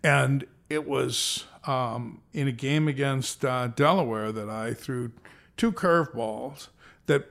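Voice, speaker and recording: Interview guest, man in his sixties, gravelly, rambling storyteller. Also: mid-range voice, slow, a bit bright. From American Southwest. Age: 60s. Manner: rambling, self-correcting anecdotes with playful voices. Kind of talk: reflective storytelling.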